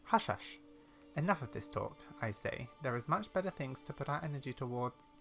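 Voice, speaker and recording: A man voicing a kindly, secretive old witch granny in a game, with measured, theatrical, old-fashioned speech. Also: medium pitch, lively intonation, vocal fry, a bit bright, soft background music. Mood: brisk, teasing. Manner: measured, mysterious storytelling with fond, old-fashioned turns of phrase. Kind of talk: game dialogue read aloud in character.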